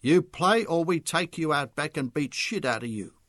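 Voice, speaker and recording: A man's voice: fairly high pitch, expressive, measured pace, a bit bright, dry recording, studio quality, pitched above the performer's own voice, exaggerated voice. A man voicing a cocky pool hustler who speaks in broken English and snaps threats, in a storybook reading. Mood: menacing.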